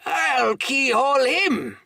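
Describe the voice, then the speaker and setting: A man voicing a hearty, cunning one-legged pirate landlord, booming and theatrical. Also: steady tone, normal speed, fairly bright tone, no echo. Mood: excited.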